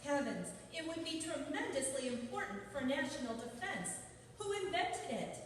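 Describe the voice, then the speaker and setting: A woman's voice: sits high, big pitch swings, moderate pace. A woman playing a detective's earnest, anxious companion in old-time radio drama style. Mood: earnest, worried. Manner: earnest, inquisitive, emphatic. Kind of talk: 1940s radio drama acting. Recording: echoey hall, far off, noisy.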